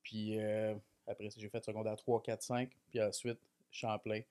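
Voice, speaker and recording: A man in his thirties with a Quebec French accent, a podcast guest telling his life story in a relaxed, self-deprecating way with many fillers. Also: lowish voice, normal speed, clean tone. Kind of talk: casual chat.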